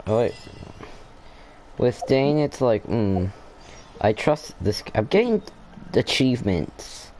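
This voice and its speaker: A man's voice: mid-range voice, very animated, slow, long pauses, darkish tone, slight background noise, poor audio. A man casually commenting while playing a game, rambling and thinking aloud with hesitations. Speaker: gameplay commentator. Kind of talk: casual let's play commentary.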